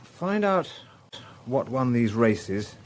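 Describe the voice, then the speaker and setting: A man's voice: medium pitch, sing-song, slow. An actor playing an inquisitive, dogged male police inspector in a radio drama. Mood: brisk, thoughtful. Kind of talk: radio drama dialogue and narration.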